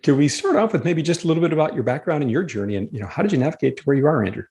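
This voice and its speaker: A man's voice: fairly high pitch, expressive, very fast, gravelly, darkish tone. A man hosting a podcast, reading an upbeat scripted introduction and then chatting with his guest. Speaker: podcast host.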